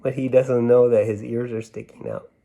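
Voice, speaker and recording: A man reading a children's picture book aloud, gently, with chatty asides as if talking to young children. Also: medium pitch, fairly even intonation, moderate pace, creaky, low-quality recording. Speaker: storybook reader.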